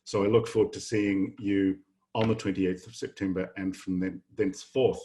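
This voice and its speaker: A middle-aged man from New Zealand, a professor, giving a measured, earnest welcome address to new students in an online session. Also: low-pitched, little pitch movement, dark tone. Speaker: professor and incoming programme director. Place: home office, online session.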